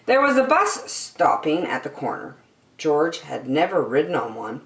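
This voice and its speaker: A woman hosting story time and reading a children's picture book aloud in a deliberate, expressive way for young listeners. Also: low voice. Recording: echoey room, distant microphone.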